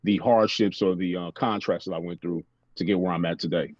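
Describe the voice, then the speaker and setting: Deep american guy chatting philosophy like a motivational speaker, says you know a lot, kinda lo-fi recording. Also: steady tone, brisk, a little mellow, no room sound. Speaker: motivational speaker and interview guest. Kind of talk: casual reflective interview talk.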